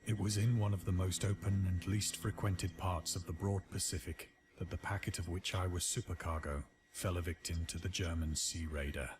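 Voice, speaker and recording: A man playing a despairing, morphine-addicted castaway sailor, narrating his final testament in a grave, formal, measured way. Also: low voice, steady tone, over music, natural voice. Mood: somber recounting. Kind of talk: scripted dramatic literary narration.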